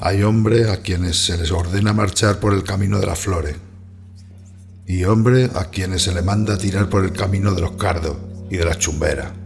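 A man acting as a bitter, fatalistic countryman from Spain, narrating his hard life in a measured, grave radio play style with a Spanish accent. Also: sits low, creaky. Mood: grave, resigned.

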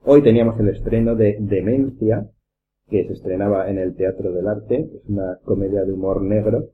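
A man's voice: on the low side, steady tone, normal speed. A man with a Castilian Spanish accent hosting a casual round-table chat in an informal, rambling way. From Spain. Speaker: podcast host. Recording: dull lo-fi sound, background noise.

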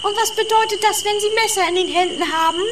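A woman's voice: extremely high pitch, fast. A woman playing a naive, nagging, whiny companion in a comedy radio play, full of questions and complaints. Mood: naive, puzzled. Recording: clear outdoor night noise, insects and owls.

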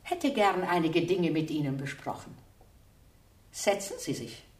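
A woman's voice: fairly low pitch, expressive, darkish tone. A shrewd old lady detective in a radio drama, courteous yet unbending. Her intonation moves widely, and she sounds a little away from the microphone in an echoing room. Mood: firm, composed. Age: older. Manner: courteous but firm, deliberate. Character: shrewd, polite elderly spinster amateur sleuth. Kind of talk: German radio play acting. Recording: roomy, distant studio sound, faint noise.

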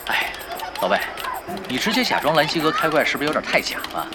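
An actor playing a cool, scheming gamer giving tactical orders in a Chinese audio drama, casual and wry. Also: male voice, fairly high pitch, big pitch swings, fast talker, very noisy. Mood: calm, calculating.

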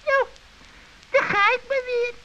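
A man voicing a sly old female witch in a children's radio play, wheedling in drawn-out phrases with feigned innocence. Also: very high voice, steady tone, pushed and bright, pitched above the performer's own voice, heavily acted. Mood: feigned indifference. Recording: dull, hissy vintage recording with clicks.

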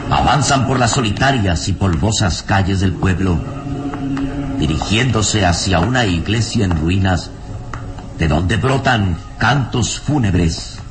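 A man's voice: low voice, expressive, takes it slowly. A man narrating an old radio serial in a solemn, suspenseful, emphatic scripted style. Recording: roomy, noisy old radio drama recording.

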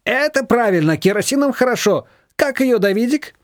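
A man's voice: very high voice, animated, moderate pace, no echo, clean studio sound, pitched above the performer's own voice. A man voicing a stern, nosy older woman neighbor with curt questions.

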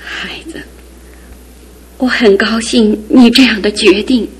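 An actress playing a middle-aged aunt in a radio drama, speaking softly and often in a hushed whisper. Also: female voice, fairly high pitch, steady tone, takes it slowly, breathy edge, full character voice. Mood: wistful. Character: aging aunt, gentle, grieving an old love.

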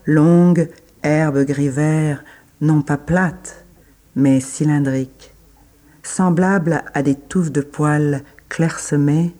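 A man reading literary prose as a scripted narration, with measured, deliberate phrasing and pauses between phrases. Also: a little high, fairly even intonation, dark tone.

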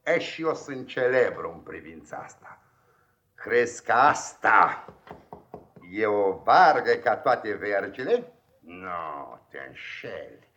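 An actor playing a sadistic, gloating boarding school headmaster in 1950s radio theatre, barking orders and taunting with repeated questions. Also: male voice, lowish voice, very animated, sharp tone, muffled recording.

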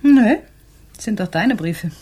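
A woman playing a busy, mildly exasperated mother in a children's radio play, coaxing and gently chiding in an everyday way. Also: mid-range voice, animated, takes it slowly, slightly rough. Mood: casual, teasing.

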